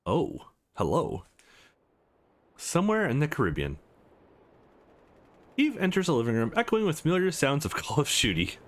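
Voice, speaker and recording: A man's voice: fairly high pitch, wildly swooping pitch, normal speed, long pauses. A man reading a visual novel aloud for a let's play, adding casual asides and correcting his own stumbles.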